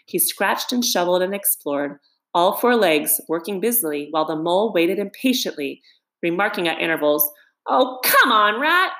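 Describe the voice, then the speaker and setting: A woman reading a children's story as scripted narration, in an expressive manner. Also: fairly low pitch, normal speed. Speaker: storybook reader.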